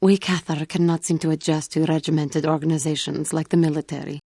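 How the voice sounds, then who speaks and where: An actress playing a proud but guilt-haunted female feline alien warrior in a video game, speaking formally and earnestly, with halting care. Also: sits low, level tone, gravelly, warm tone, slightly rough, dry recording, studio quality, exaggerated voice. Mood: shy, apologetic. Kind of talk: scripted video game character dialogue.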